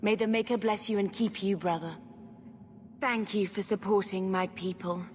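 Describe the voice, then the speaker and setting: Here is an actress as a devout young warden in a video game. She is a resolute woman, earnest and reflective, with a medium-pitched voice. Long reverb surrounds it, with faint ambient noise. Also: steady tone, moderate pace, natural voice. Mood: earnest, wistful. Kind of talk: dramatic video game cutscene acting.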